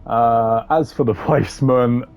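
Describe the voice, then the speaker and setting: A man's voice: mid-range voice, steady tone, unhurried, a little mellow, clean tone. A man hosting a gaming video with casual, wry, sardonic commentary and some swearing. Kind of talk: casual tier-list commentary.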